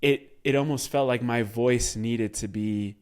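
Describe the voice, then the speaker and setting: A man hosting a podcast interview, his voice hoarse as it recovers, talking in a reflective, loose way. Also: mid-range voice, fairly even intonation, a little slow. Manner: reflective, many fillers and restarts. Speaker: podcast host. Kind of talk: casual interview talk.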